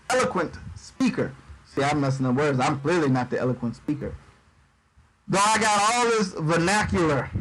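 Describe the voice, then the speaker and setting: A man giving livestreamed Bible teaching in a relaxed preaching style, reading scripture aloud and riffing on it, often repeating words as he goes. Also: high-pitched, slight background noise, low-quality recording. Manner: conversational preaching, reads scripture aloud and riffs on it; uses Southern-style 'y'all', stumbles and repeats words. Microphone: livestream microphone.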